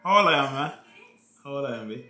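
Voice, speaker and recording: A man's voice: mid-range voice, animated, quick pace, bright, forceful tone, roomy sound, soft background music. A man in his thirties, a vlog co-host, chatting casually and teasing his partner in a slangy, laid-back way. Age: 30s. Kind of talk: casual couple Q&A chat.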